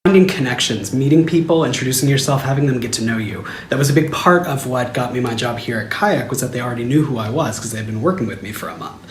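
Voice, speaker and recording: An American man telling his career story in a lively, upbeat way. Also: medium pitch, fast talker, few pauses, a little hiss or hum. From United States. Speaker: product manager. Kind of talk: casual testimonial. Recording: echoey room, faint ambience.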